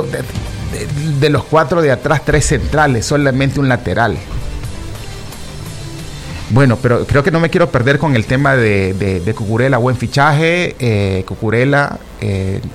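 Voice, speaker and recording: A man giving his football opinions to his regular listeners, rambling with restarts and fillers, calling them "chicos". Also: medium pitch. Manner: rambling, repeats himself, many fillers and restarts. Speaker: football commentator. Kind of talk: casual opinion talk.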